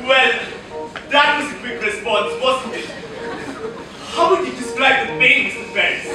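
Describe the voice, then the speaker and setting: A man's voice: extremely high pitch, unhurried. A young man acting a genial, theatrical doctor with jovial patter in an amateur stage comedy. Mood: breezy, amused. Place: college auditorium stage, recorded from afar. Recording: echoey auditorium, distant mic, noisy.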